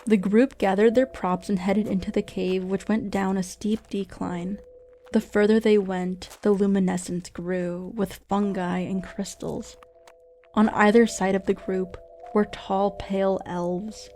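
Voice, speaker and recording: A woman narrating a scripted radio drama in a measured storytelling manner. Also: mid-range voice, little pitch movement. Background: soft music.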